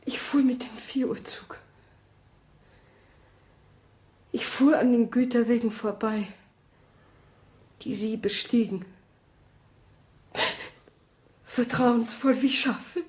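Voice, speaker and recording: An actress in a German radio play, playing a shaken woman courier who speaks in a halting, intense way. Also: a little high, fairly even intonation, a little mellow, natural voice. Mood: bitter, drained. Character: Hansi, shaken woman courier bearing witness.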